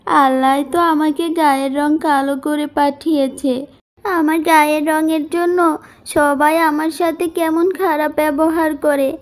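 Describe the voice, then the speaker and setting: A sorrowful young orphan woman in an animated moral story, voiced by a woman. Her high voice is earnest and plaintive, that of someone mistreated. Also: moderate pace, lightly acted. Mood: hurt, plaintive.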